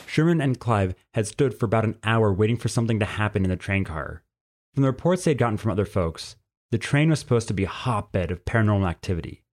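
A man reading a scripted story as narrator, in an expressive way. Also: fairly low pitch, fast talker.

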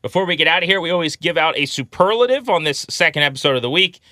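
A man's voice: on the high side, animated, fast talker, bright, forceful tone, no echo. A man hosting a sports podcast, chatting in an emphatic, playfully opinionated way. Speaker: podcast host.

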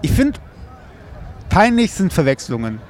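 A man's voice: high-pitched, sing-song, unhurried, darkish tone, a little hiss or hum. A young man in casual banter, rambling along in a joking, conversational way. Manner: joking, loose rambling storytelling.

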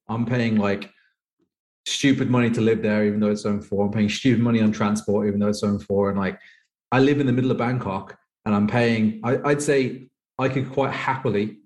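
A man's voice: lowish voice, fairly even intonation, a little fast, a little mellow. A man in his thirties from the UK, a poker player being interviewed who chats casually and rambles with lots of "like". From United Kingdom. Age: 30s. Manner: rambling, heavy use of "like". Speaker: professional poker player guest. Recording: echoey room.

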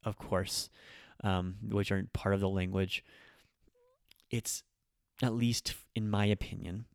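A man's voice: lowish voice, darkish tone, no room sound, clean studio sound. A man hosting a podcast, talking through his views on teaching in an unscripted monologue with many ums and restarts. He is a native English speaker. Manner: frank, opinionated, with frequent ums and restarts. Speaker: podcast host and language teacher.

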